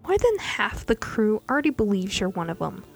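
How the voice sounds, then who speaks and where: A woman voicing a stern, foul-mouthed male pirate enforcer who curses and shouts threats in an audiobook-style story reading. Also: mid-range voice, big pitch swings, brisk, faint music behind. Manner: gruff, cursing, shouting threats.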